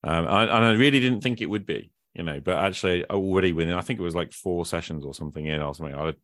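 A man's voice: deep voice, quick pace, a bit bright, no room sound, clean studio sound. A man speaking as a podcast host in casual, reflective conversation, thinking aloud and often qualifying himself.

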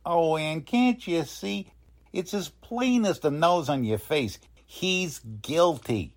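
A very low, slow voice from a woman plays a stubborn, wisecracking police detective in a forties radio serial. The slangy delivery swings emphatically and drips with sardonic cynicism. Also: animated, full character voice. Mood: dismissive, sardonic. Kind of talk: 1940s radio drama acting.

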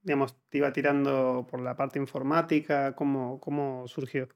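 A man's voice: mid-range voice, level tone, moderate pace. A man hosting a podcast, asking curious questions with a light joking touch in a studio interview.

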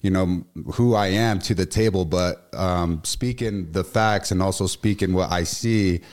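A young man in his twenties who talks loudly and with emotion, a former football player chatting as a studio guest. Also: deep voice, unhurried. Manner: loud, emotional, animated delivery. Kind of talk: casual radio chat.

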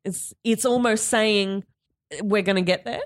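A woman's voice: mid-range voice, fairly even intonation, unhurried, no echo, clean studio sound. A woman co-hosting a podcast, musing and playful as she talks. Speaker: podcast co-host. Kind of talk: casual podcast chat.

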